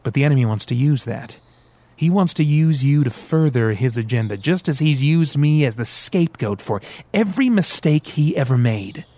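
A man voicing a smooth, sarcastic male devil who teases and threatens by turns in an audiobook. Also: mid-range voice, expressive, moderate pace, warm tone, dry recording, natural voice. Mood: persuasive. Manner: teasing, sarcastic, theatrical. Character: sly mocking male devil, smooth, menacing.

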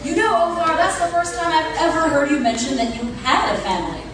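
Sarcastic female sidekick actress, radio comedy, high pitch, far from the mic with noise. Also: fairly quick, lightly acted. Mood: dry sarcasm. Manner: dry, sarcastic, needling. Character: sardonic warrior princess sidekick, female. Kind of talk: comic radio theatre acting. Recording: echoey noisy hall, distant mic.

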